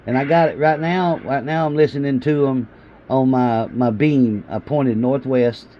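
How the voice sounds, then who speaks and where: A man's voice: medium pitch, fairly even intonation, measured pace. A man with a Southern US accent chatting casually to viewers in a folksy, hesitant way, often repeating himself. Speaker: CB radio hobbyist and video host. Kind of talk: casual chat to camera. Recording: muffled, with clear background radio noise.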